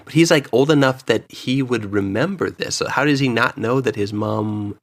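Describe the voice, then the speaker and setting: A man's voice: medium pitch, moderate pace, gravelly, no room sound. A man co-hosting a podcast, chatting casually and jokily while giving his opinions in a review. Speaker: podcast co-host. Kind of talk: casual review chat with anecdotes.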